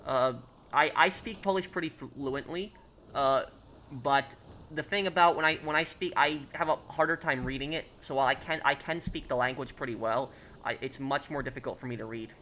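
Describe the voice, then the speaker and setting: A man's voice: mid-range voice, moderate pace, pushed and bright, a little hiss or hum. A young American man streaming a game, reading viewer questions aloud and answering them casually, with frequent fillers and restarts. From United States. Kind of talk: casual live-stream chat.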